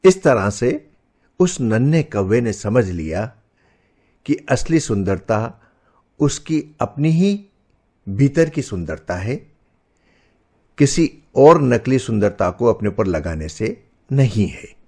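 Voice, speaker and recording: A man narrating a scripted children's story as storyteller, expressive and didactic. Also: medium pitch, slow, pauses often, husky.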